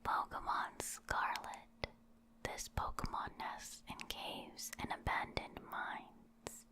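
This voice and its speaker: Woman doing asmr reading, all whispered, really slow and soothing. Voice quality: soft unvoiced whisper. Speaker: ASMR creator reading game creature entries.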